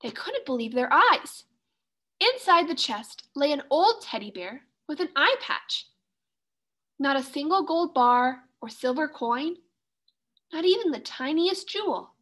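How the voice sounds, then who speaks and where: A woman teacher reading a children's story aloud with expressive narration. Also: high-pitched, measured pace.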